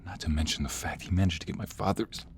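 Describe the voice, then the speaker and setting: Casting: man to voice protective mob lover for audio roleplay, deep and deliberate, emotionally earnest, faint noise behind. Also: creaky. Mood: grim, tender. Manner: earnest and emotional, dramatic pauses. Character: protective, defiant mobster on the run, devoted lover. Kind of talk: audio roleplay romance acting.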